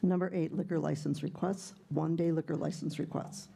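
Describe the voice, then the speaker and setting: A woman's voice: low-pitched, steady tone, brisk, vocal fry, dark tone, breathy edge. A woman, a village clerk formally reading out agenda items and names. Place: municipal board meeting hall.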